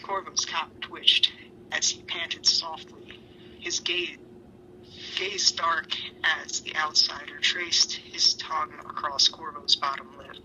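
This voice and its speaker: A man giving a dramatic, breathy reading of fan fiction, with occasional stumbles. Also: on the high side, little pitch movement, takes it slowly, pushed and bright, slight background noise, poor audio.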